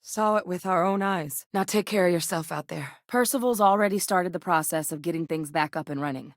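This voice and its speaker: Woman acting as a guarded space soldier-scientist lady for a game, lowish voice, dry and matter of fact, really close clean sound. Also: brisk, dry recording, natural voice. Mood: earnest, steady. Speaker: video game voice actress. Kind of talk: sci-fi game character dialogue.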